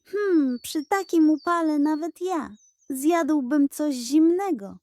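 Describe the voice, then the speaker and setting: A woman's voice: sits high, animated, takes it slowly, darkish tone, no room sound, studio quality, voice pushed up. A woman voicing a sly, languid male cat with a drawling manner in a children's audio fairy tale. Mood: languid, coaxing.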